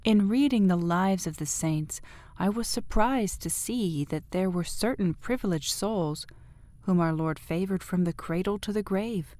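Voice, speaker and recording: A woman reading literary prose as an audiobook narrator, in a measured, expressive way. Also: on the low side, no echo.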